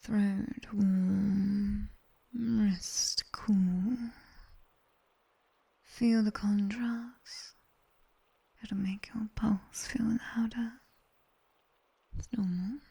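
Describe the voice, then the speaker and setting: Woman acting a demoness tutor, British accent, breathy whisper, very slow pace, teasing, bossy yet soothing, ASMR roleplay. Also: medium pitch, steady tone, warm tone. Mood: soothing, guiding.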